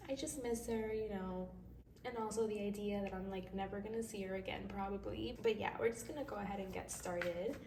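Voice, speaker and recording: A woman's voice: mid-range voice, steady tone, a little fast. A woman hosting a tarot reading in a casual, chatty, reassuring way, with a slightly stuffy nose from crying. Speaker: tarot reader and host. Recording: distant mic, roomy echo, faint noise.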